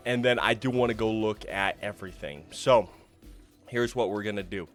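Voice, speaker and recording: Guy rambling thru game menus, lowish voice a bit rough from being sick, faint music under it. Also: normal speed. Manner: chatty, thinking aloud while browsing menus. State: recovering from illness, voice a bit rough. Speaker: gaming YouTuber. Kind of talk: casual gameplay commentary.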